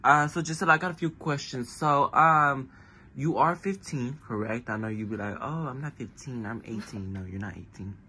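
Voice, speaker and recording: A man's voice: medium pitch, normal speed, sharp tone, slight background noise. A young man vlogging casually on his phone, full of slang and repeated catchphrases. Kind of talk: casual vlog chat.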